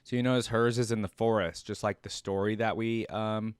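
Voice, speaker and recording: A man's voice: lowish voice, steady tone, fairly quick, clear, resonant tone, dry recording, studio quality. A man hosting a reaction video in a casual, off-the-cuff way, rambling as he thinks aloud. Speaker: host of a reaction video channel.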